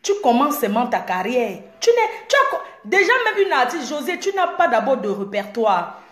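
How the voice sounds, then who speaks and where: A woman's voice: on the high side, big pitch swings, moderate pace. Boastful Cameroonian woman in an echoey room. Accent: Cameroonian French. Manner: boastful, confrontational, mocking. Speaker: blogger. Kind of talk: direct-to-camera rant.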